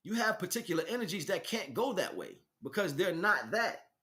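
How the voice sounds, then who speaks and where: A man's voice: sits high, big pitch swings, normal speed, pushed and bright. A man giving an unscripted spiritual teaching as a livestream host, speaking emphatically and repeating phrases for stress. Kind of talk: unscripted spiritual monologue, preaching.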